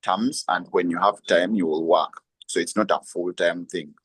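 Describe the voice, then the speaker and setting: A young man with a Ugandan accent answers interview questions in a very deep voice. The recording is dry and close, and he talks hesitantly, leaning on um fillers as he explains. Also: steady tone, normal speed, fairly bright tone, slightly rough, no echo. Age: young adult. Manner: hesitant, many um fillers, laughs lightly. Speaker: guest, lawyer and freelancer. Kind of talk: conversational interview.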